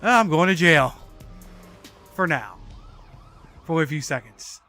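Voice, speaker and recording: A man's voice: a little high, animated, slow, bright, forceful tone, faint music behind. A man in his forties doing casual live gameplay commentary while chatting with viewers, swearing freely. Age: 40s. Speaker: video game streamer.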